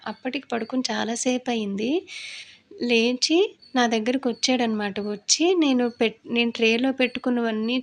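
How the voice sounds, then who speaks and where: A young woman narrates a craft video as channel host in a casual, conversational way, often ending sentences with a filler tag. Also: on the high side.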